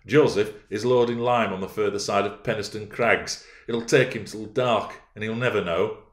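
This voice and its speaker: A man voicing a sullen, resentful teenage boy with a gruff, insistent manner in an audiobook. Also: lowish voice, fairly even intonation, moderate pace, echoey room, lightly acted. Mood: defiant. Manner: morose, insistent, agitated. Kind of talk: audiobook character voice.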